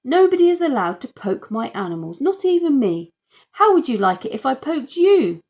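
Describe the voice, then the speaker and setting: A woman's voice: high voice, very animated, moderate pace, a little mellow, pitched above the performer's own voice. A woman voicing a dry, matter-of-fact, stubborn father in a children's audiobook. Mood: indignant. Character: dry, stubborn dad, protective of his farm animals.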